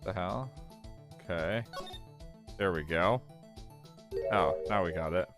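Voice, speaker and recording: A man's voice: deep voice, takes it slowly, music in the background. A man streaming a video game with casual, offhand, muttered commentary.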